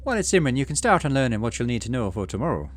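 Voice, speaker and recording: A man voicing a kindly, practical aunt from a game, explaining things in a gently persuasive way. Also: medium pitch, sing-song, rapid-fire. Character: kindly, practical aunt running a colony kitchen. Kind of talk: game dialogue read aloud.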